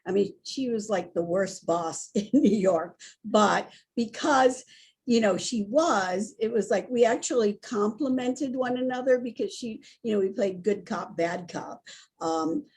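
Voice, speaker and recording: A woman in her sixties from Hawaii, speaking as a guest in an animated, candid conversation full of restarts and asides. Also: medium pitch, a little slow, husky. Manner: animated and candid, with frequent restarts and "you know". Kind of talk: conversational storytelling.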